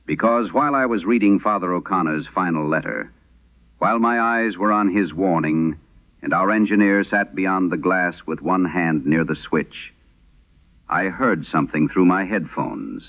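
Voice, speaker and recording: An actor playing a grave, uneasy host of a horror radio show, narrating in a solemn, deliberate, ominous way. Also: male voice, low-pitched. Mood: quietly ominous. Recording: muffled vintage radio sound.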